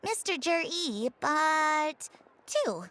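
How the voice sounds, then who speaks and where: A woman's voice: high-pitched, sing-song, very slow, a bit bright, exaggerated voice. An actress voicing a polite, businesslike female antique dealer in a video game, courteous and keen to make a sale. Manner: courteous, sales-minded. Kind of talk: scripted video game voice acting. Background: background music.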